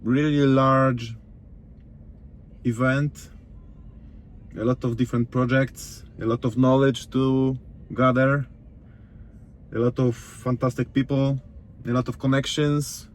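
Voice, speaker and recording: A man from Poland with a Polish accent, talking casually in halting, simple non-native English as a vlogger. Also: mid-range voice, flat delivery. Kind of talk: casual vlog talk.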